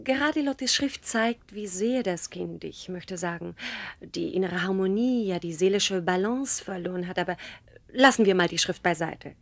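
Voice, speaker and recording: An actress playing a stern, fussy, indignant schoolmistress in a radio play, speaking formally and reproachfully. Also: female voice, on the low side, normal speed, no echo. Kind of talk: German radio play acting.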